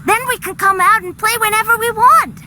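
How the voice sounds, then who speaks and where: A woman's voice: very high-pitched, a little fast. A woman voicing a stubborn young village child who trusts their father, speaking in an insistent, childlike way. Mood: eager. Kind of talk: video game cutscene voice acting. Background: game music.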